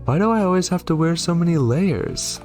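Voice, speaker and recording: A man's voice: on the high side, expressive, brisk, a little mellow, soft background music, voice pushed up, close to an everyday voice. A man voicing a curious, whiny young boy in a horror game, plaintive and questioning. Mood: complaining.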